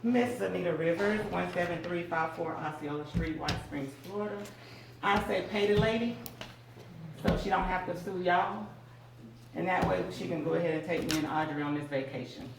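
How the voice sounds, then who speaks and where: A woman's voice: on the low side, normal speed, gravelly. A woman speaking plainly and jokingly at public comment. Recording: echoey room from far off, hiss.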